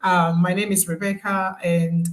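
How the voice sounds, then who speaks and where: A woman's voice: lowish voice, flat delivery, measured pace. A woman, a guest on a live video call who talks in a friendly, chatty way and laughs often. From Ghana. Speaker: guest, nurse turned online business owner. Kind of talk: casual live-stream interview.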